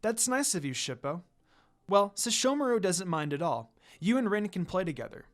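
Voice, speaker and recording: A man voicing an affectionate young woman in a romantic story, with a soft, earnest manner. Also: high voice, very animated, normal speed. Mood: warm, happy. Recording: music under the voice.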